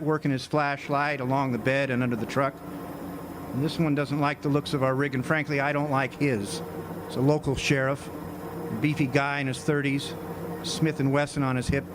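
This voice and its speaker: A man's voice: mid-range voice, lightly acted. A man playing a wary, wisecracking hired farmhand who tells his hard-boiled story in a live radio drama, with slangy, folksy wit. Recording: noisy outdoor amphitheater stage recording.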